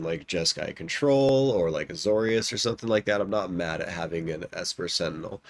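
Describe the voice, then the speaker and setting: A man's voice: deep voice, no echo. A young man with a stuffed-up nose chatting casually while he plays, rambling and often restarting his sentences. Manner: rambling, self-correcting, lots of ums and likes. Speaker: gaming YouTuber. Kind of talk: casual commentary while drafting a card game.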